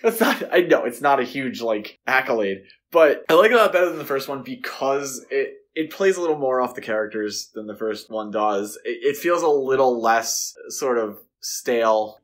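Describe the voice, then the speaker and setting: Casual male podcast co-host, halting delivery. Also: mid-range voice, animated. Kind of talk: casual film discussion.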